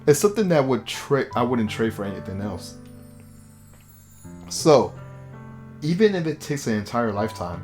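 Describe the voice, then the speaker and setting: A man's voice: mid-range voice, sing-song, normal speed, pauses often, a little mellow, lightly acted. A man voicing an earnest, protective teenage boy protagonist in a visual novel, speaking reassuringly over music. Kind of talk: visual novel character reading.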